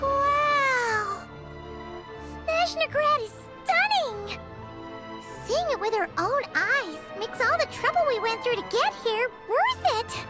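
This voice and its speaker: A woman voicing a tiny, perky, childlike fairy companion in a video game, with a squeaky, bubbly, expressive voice. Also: takes it slowly, over loud music, exaggerated voice. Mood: amazed, excited. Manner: bubbly, expressive, refers to herself in third person. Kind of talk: video game character acting.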